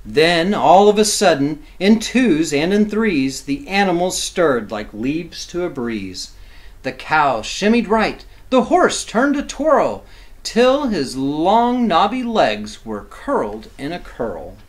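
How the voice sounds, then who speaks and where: Slow, animated man reading a storybook. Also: on the high side. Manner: expressive, simple explanatory asides for children. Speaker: teacher reading aloud to kindergarteners. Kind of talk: animated children's storybook read-aloud.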